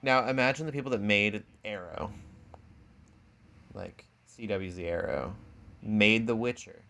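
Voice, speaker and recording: A man's voice: on the low side, a little slow, creaky, pushed and bright. A man chatting casually as a podcast co-host. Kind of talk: casual chat.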